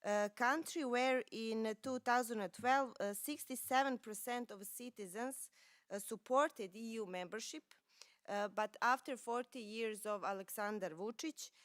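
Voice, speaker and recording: A woman's voice: a little high, a little slow, dry recording. A woman from Serbia, a native Serbian speaker with a Serbian accent, delivering a prepared political speech.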